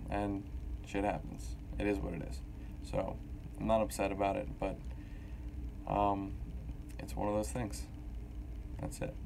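A man giving listeners a shrugging, matter-of-fact notice about a recording glitch. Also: on the low side. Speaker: podcast host. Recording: gravelly voice over noticeable hiss.

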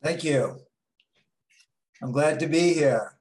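A man in his late sixties with a New York accent, telling his story slowly as an interview guest, pausing on many ums. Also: fairly high pitch, darkish tone, reverberant. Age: 60s. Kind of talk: interview answers.

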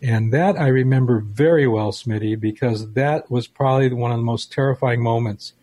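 An American man in his sixties talks in a mellow, relaxed voice with some huskiness. He sounds like a talk show co-host sharing memories in a conversational way. The recording is dry and close. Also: mid-range voice, moderate pace, no room sound. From United States. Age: 60s.

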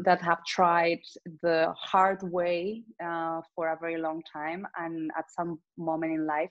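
A woman from Spain with a Spanish accent, a transformational coach co-hosting a casual conversation, reflective and quick to laugh. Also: lowish voice, muffled recording. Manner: reflective, laughs often, restarts sentences.

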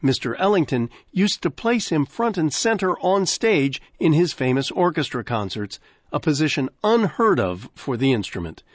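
A male radio host delivers scripted closing remarks in a measured announcer manner. His pitch rises and falls expressively, and the studio sound is close and dry. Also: no room sound. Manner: measured, warm announcer delivery with lively phrasing. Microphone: studio microphone.

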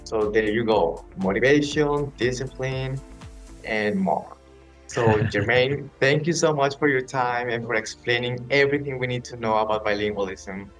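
A man's voice: mid-range voice, moderate pace, soft background music. A male podcast host asking a closing question and signing off politely. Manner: polite, wrapping up formally. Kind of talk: podcast interview and sign-off.

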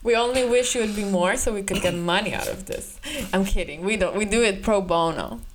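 A woman's voice: medium pitch, lively intonation, brisk, a little hiss or hum. A young woman co-hosting a podcast in casual chat, giggling often and teasing her co-host.

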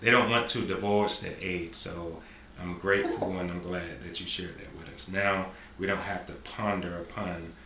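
A forties man with a very low but bright, forceful voice hosts a podcast in a chatty, affirming way. His voice rings in a roomy space with faint background noise. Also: fairly even intonation, normal speed. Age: 40s. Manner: repetitive, affirming, talkative. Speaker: podcast host. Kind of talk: casual interview.

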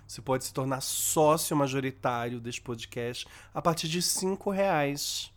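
A man's voice: medium pitch, measured pace. A Brazilian man co-hosting a podcast, chatting in a playful, camp way and laughing often. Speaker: podcast co-host. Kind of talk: campy casual chat.

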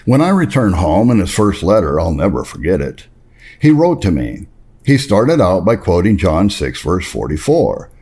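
A man with a very low, mellow voice reads a religious memoir aloud. His intonation swings widely as he tells it earnestly and emphatically. He pauses for effect and steps out of the text for brief explanatory asides. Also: very animated, slightly rough. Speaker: reader and narrator of religious history.

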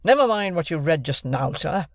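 A man voicing a bullying, sarcastic gentleman who cross-examines in a hectoring, authoritative manner for an audiobook. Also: fairly high pitch, lively intonation, normal speed, dry recording, clean studio sound, pitched above the performer's own voice. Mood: impatient. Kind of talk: classic novel narration, character voice.

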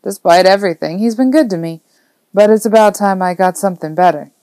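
A woman's voice: medium pitch, brisk, mellow, dry recording, lightly acted. A woman voicing a friendly, easygoing man in an audiobook, casual and familiar with a grumbling streak. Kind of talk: audiobook character dialogue.

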